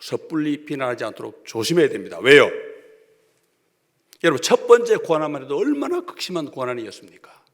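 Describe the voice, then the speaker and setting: A male pastor with a Gyeongsang accent preaches with sharp swings between loud declarations and near-whispered asides. His pitch leaps up and down as he presses each point. The sound carries a long reverb from a church sanctuary. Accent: Gyeongsang Korean. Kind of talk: sermon.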